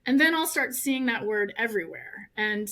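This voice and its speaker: A middle-aged woman, a law professor guesting on a podcast, telling animated stories and laughing often. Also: on the high side. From United States. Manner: animated storyteller, laughs often, fast and chatty. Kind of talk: casual interview chat.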